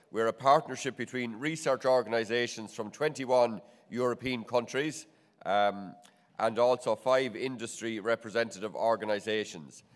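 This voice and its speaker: A middle-aged Irish man with a cold that makes him nasal, chairing a conference and opening it from a podium microphone in a large wood-panelled hall. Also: mid-range voice. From Ireland. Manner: measured, frequent restarts and fillers. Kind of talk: formal opening remarks. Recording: long hall reverb.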